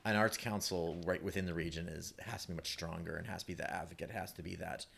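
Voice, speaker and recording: A man speaking as a guest in a casual panel discussion, halting and repeating words, with emphatic turns. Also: low-pitched, steady tone, gravelly, fairly bright tone, breathy edge.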